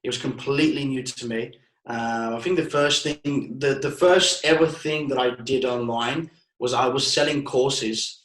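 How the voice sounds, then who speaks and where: A man's voice: mid-range voice, a little slow, fairly bright tone, roomy sound, poor audio. A man in his twenties from Bulgaria, a marketing guest in a casual interview, talking with many restarts and fillers. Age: 20s.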